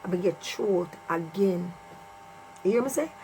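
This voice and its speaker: A woman's voice: fairly low pitch, animated, a little slow, mellow, low-quality recording. Jamaican woman storytelling over humming noise. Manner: emphatic, repeats phrases for effect. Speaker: vlogger telling a personal story. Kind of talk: casual personal storytelling to viewers. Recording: clear mains hum and noise.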